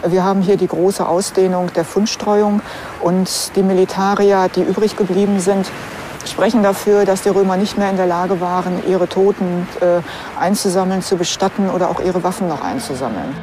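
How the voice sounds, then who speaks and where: A woman's voice: fairly low pitch, steady tone, normal speed, slight background noise. A woman speaking as an archaeologist, explaining in a matter-of-fact interview style.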